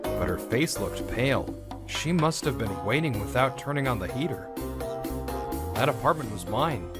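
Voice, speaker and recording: A mid-pitched young man's voice from an actor tells his own story as a comic dub lead. He sounds wounded and bitter, in scripted narration with music clearly playing underneath. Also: expressive, moderate pace, lightly acted. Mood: tense, reflective.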